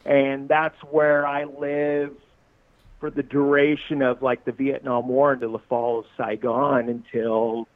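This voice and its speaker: A man's voice: mid-range voice, flat delivery, measured pace, no room sound. A man in his forties from Southern California, a musician guest talking in a rambling, jokey way and laughing often. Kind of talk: casual podcast interview. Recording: tinny, dry phone-like line.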